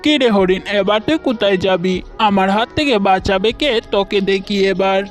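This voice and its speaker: A woman's voice: mid-range voice, brisk, soft background music, natural voice. A woman voicing a boastful, bullying lion king in a children's cartoon, blustering and exclamatory. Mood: gloating, menacing. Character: bullying, boastful lion king who turns wailing coward.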